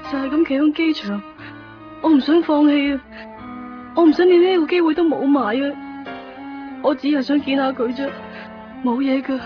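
A woman's voice: sits high, moderate pace, long pauses, lightly acted. An actress playing a remorseful, lovesick young woman in a radio drama monologue, with a Hong Kong Cantonese accent. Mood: sad, apologetic. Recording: muffled radio drama recording, music.

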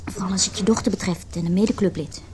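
A woman's voice: mid-range voice, fairly even intonation, quick pace, lightly acted. An actress playing a brash, cynical woman in her early thirties in a Dutch radio play, exclaiming, teasing and scornful. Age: 30s. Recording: radio play with clear background noise.

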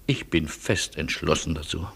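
An actor in a German radio play playing a courteous, wry refugee man, husky, speaking in polite, ironic and wordy turns. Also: a bass voice, normal speed, fairly bright tone, heavily acted. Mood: resigned, wry.

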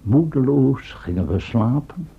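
An actor playing a pompous, easily affronted professor with formal, pedantic speech in a radio drama. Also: male voice, medium pitch, lively intonation, unhurried, gravelly, husky, heavily acted. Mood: solemn. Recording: muffled old radio recording.

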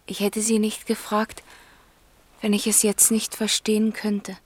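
An actress playing a frank, searching young woman in a radio drama, speaking in an intimate, halting way. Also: mid-range voice, monotone, close to an everyday voice. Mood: hushed, hurt. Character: Carmen, frank, searching young daughter questioning an older man. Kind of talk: 1970s German radio play acting.

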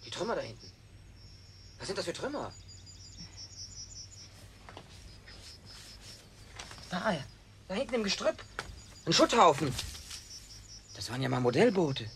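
An actor playing a brash, touchy young country-bred working man in a German radio play, talking in a colloquial, blunt, excitable way. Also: high-pitched, brisk, pauses often, slight background noise. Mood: shocked, reproachful.

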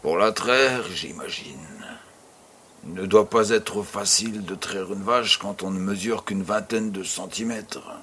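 An actor playing an affectionate, teasing old farmer grandfather who tells tales in an unhurried way in an audio drama. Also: fairly low pitch, sharp tone, slight background noise. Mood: storytelling. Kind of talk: French-language audio drama acting.

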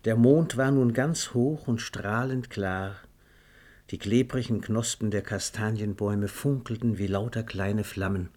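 A man narrating a literary radio drama in a measured, matter-of-fact manner. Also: lowish voice, a little mellow.

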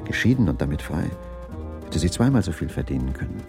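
An actor playing a troubled, evasive husband in a German radio crime drama, speaking hesitantly. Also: male voice, very deep voice, very animated, brisk, heavily acted. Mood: brooding. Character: troubled, evasive husband, a dining-car waiter. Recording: music under the voice.

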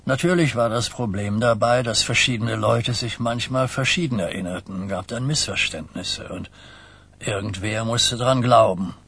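An actor playing an old, wary mafia boss in a German radio crime drama, speaking in deliberate, blunt phrases. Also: male voice, lowish voice, a bit bright, breathy edge. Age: older. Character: old New York mafia boss, burdened, wary, courteous.

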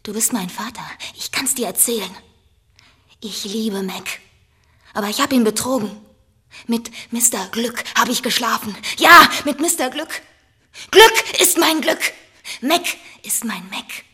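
Brazen, lovesick madwoman, young, very breathy yet sharp, for radio drama. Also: animated, a little slow, full character voice. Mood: manic, dreamy. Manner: erratic, swings from whispering to shrill outbursts. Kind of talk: radio play acting.

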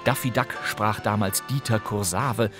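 A middle-aged man hosting a podcast with scripted, playful narration, wry and given to theatrical emphasis and pauses. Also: lowish voice, music in the background. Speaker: podcast host. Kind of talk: scripted, playful presentation on radio drama history.

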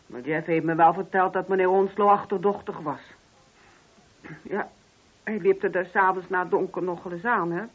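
A woman's voice: on the low side, steady tone, normal speed, husky. A woman playing a talkative, grieving older mother in a radio drama, speaking colloquially and emphatically. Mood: indignant, confiding. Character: chatty grieving older mother of four sons, indignant. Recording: dull, muffled old radio drama recording.

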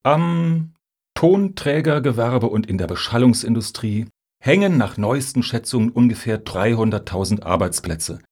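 An actor playing an earnest, self-justifying middle-aged music lobbyist in a radio satire, speaking in formal jargon. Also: male voice, mid-range voice, expressive, normal speed, darkish tone, breathy edge. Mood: pompous, persuasive. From Hamburg, Germany. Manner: formal, jargon-heavy, pompous.

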